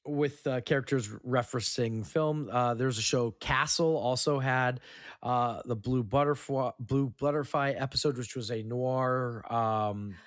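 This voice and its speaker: A man's voice: mid-range voice, unhurried, no room sound. Man podcast host in a dry studio sound. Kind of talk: casual film trivia rundown among co-hosts.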